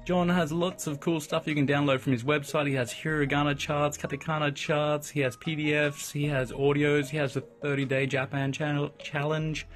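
A man, hosting a casual solo podcast in a chatty, upbeat way with lots of shout-outs and asides. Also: a little high, level tone, moderate pace, few pauses. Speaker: podcast host.

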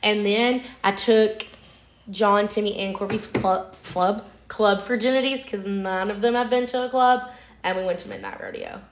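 A young woman co-hosting a podcast in casual chat, her voice raspy and hoarse from a cold, talkative and leading the conversation. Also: medium pitch, steady tone, normal speed, slight background noise. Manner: talkative, chatty, leads the conversation. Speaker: podcast co-host. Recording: echoey room.

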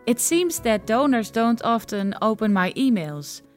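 A woman leaves a question as a caller. Her voice sits a little high. There is faint music behind her message. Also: expressive, unhurried.

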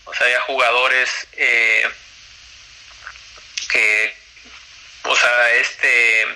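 Young man, very bright tone, rambling casual chat. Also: mid-range voice, little pitch movement, extremely slow, poor audio. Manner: rambling, many fillers and restarts. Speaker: guest, former college tennis player. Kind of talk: casual interview talk.

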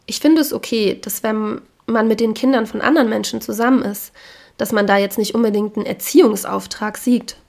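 A woman hosting a podcast, speaking frankly and with opinions while hoarse from a cold. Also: fairly high pitch, steady tone, brisk, a little mellow. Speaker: podcast host. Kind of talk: casual solo podcast talk.

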